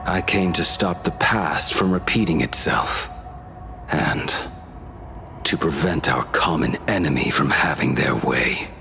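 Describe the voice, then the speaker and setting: Grave game figure, deep, slow, forceful, solemn, ominous. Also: male voice, creaky, faint music behind, exaggerated voice. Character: grave, weary male warning of a grim conspiracy. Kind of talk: dramatic video game voice acting.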